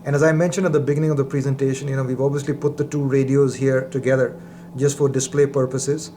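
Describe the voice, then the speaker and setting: A man's voice: mid-range voice, little pitch movement, fast talker, mellow. A man giving a methodical, explanatory product demonstration, as a presenter. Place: indoor lab. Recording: roomy echo, faint background noise.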